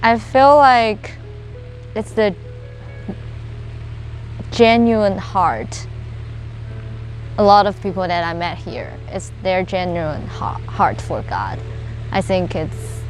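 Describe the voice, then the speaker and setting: A young woman from China with Chinese as her first language, a college student answering interview questions in a halting, filler-heavy way. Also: fairly low pitch, expressive, faint music behind, rough recording. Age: young adult. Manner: hesitant, many fillers and restarts.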